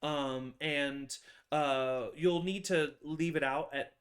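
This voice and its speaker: A man's voice: a little high, steady tone, a bit bright. A young man narrating a tabletop game as game master, hesitant and full of fillers. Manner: halting, many fillers and restarts.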